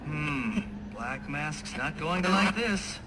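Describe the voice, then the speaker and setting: Cocky mastermind villain, mocking, sing-song swings, really noisy background. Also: male voice, on the high side, expressive, slow pace. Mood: sly. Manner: mocking, self-satisfied. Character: smug, taunting male criminal mastermind, theatrical and clever. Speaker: video game villain. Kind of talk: scripted game cutscene acting. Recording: loud background noise.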